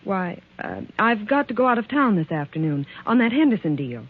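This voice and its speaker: A woman's voice: mid-range voice, very animated, brisk, slightly rough, narrow, tinny audio. An actress playing a guarded, evasive working woman, politely cool, in a 1940s radio drama. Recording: band-limited old 1940s radio recording.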